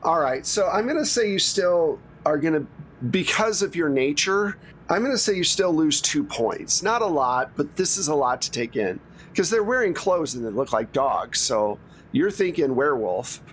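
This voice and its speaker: A man's voice: a little high, very animated, moderate pace, a bit bright, slight background noise. A man acting as game master, narrating a tabletop role-playing game in an informal, conversational way.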